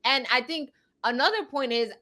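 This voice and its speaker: Bright, higher-voiced woman podcast co-host. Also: animated, moderate pace. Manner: direct, opinionated, often tags points with "right?". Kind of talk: casual opinion discussion.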